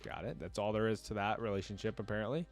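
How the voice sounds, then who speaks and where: Low-pitched man doing casual reaction commentary. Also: fast talker, gravelly, soft background music. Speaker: reaction video creator reading lore aloud and commenting. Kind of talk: casual reaction commentary mixed with reading aloud.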